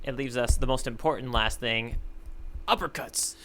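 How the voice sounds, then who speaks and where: Bright-voiced man in casual podcast banter. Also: mid-range voice, normal speed. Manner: hesitant, repeats words while thinking aloud. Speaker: podcast co-host. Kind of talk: casual comedic chat.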